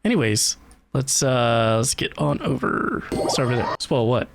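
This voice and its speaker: A man's voice: mid-range voice, sing-song, moderate pace, gravelly, music in the background. A man live streaming a video game, casually chatting with viewers in a playful, wisecracking way. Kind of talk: casual live stream gameplay reaction and chat.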